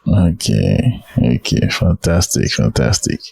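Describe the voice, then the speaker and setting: A man's voice: very deep voice, big pitch swings, takes it slowly, creaky, a little mellow, breathy edge, no echo. A man with a Nigerian accent hosting a podcast in a casual interview style. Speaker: podcast host.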